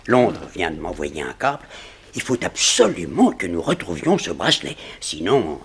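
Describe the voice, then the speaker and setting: An actor playing a formal, earnest insurance director, speaking deliberately in a 1960s French radio drama. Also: male voice, low-pitched, very animated, breathy edge, exaggerated voice.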